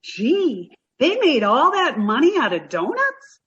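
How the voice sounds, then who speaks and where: An actress playing a sweet, naive, dim-witted young woman in an old-time radio sitcom style, earnest and slow on the uptake. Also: high-pitched, huge pitch swings, fairly bright tone, dull audio, full character voice. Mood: naive, chirpy.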